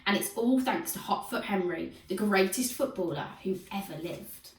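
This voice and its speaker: A woman voicing a breathlessly excited football commentator in a children's storybook. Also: mid-range voice, normal speed, reverberant, distant mic, slight background noise, natural voice, low-quality recording. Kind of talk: sports commentary.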